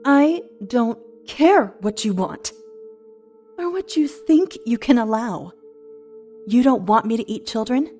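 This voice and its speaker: A woman's voice: a little high, lively intonation, slow pace, warm tone. A woman playing a bitter, sarcastic, century-old shapeshifting monster in an audio horror drama, combative and foul-mouthed. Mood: furious. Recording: music under the voice.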